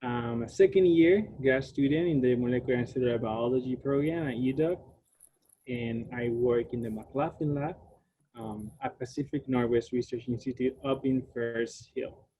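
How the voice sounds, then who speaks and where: Man, 20s, from Puerto Rico, Spanish native speaker, halting online lecture, dull lo-fi sound, faint hiss. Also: medium pitch. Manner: halting, many fillers and restarts. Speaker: graduate student speaker. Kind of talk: online science talk. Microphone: video call.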